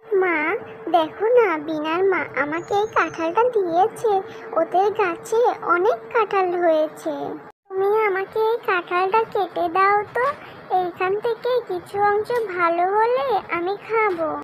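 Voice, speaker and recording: A very high, childlike little girl, acted by a woman in a kids' cartoon. She is eager and earnest, pleading as she talks, with faint noise behind her. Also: unhurried, muffled recording. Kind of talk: children's cartoon story dubbing.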